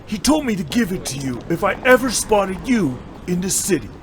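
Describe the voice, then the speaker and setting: A man's voice: sits high, big pitch swings, normal speed, over music. A man playing an eager, respectful young dojo student in an audio drama, speaking with keen emphasis. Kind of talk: fan audio drama acting.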